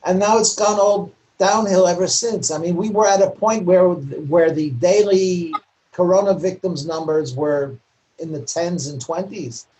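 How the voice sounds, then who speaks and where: A man's voice: high voice, unhurried, dark tone, distant mic, rough recording. Older man telling stories candidly, a bit off-mic. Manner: candid, dry opinionated storytelling. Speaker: guest lecturer and author. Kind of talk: casual talk to a community group. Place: in Israel, speaking over a video call.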